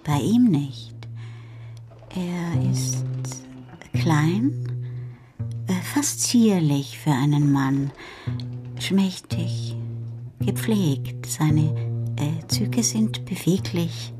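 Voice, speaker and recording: An actress playing a self-possessed, observant middle-aged woman who speaks deliberately with small hesitations, in a German radio crime drama. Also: low-pitched, expressive, music in the background, full character voice. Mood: reflective. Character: self-possessed, adventurous Parisian landlady, observant night wanderer.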